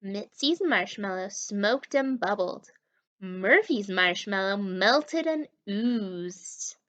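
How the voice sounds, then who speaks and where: A woman reading a story aloud to young children as a teacher, animated and encouraging, talking directly to her listeners. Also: medium pitch, slow pace, muffled recording. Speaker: children's story time reader and literacy teacher.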